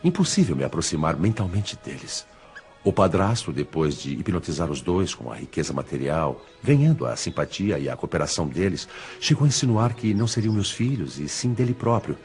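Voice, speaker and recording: A Brazilian man playing the sorrowful, self-pitying spirit of a betrayed husband in an audiobook drama, speaking deliberately and in literary phrases. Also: sits low, darkish tone. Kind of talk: dramatized audiobook dialogue, confessional. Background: music.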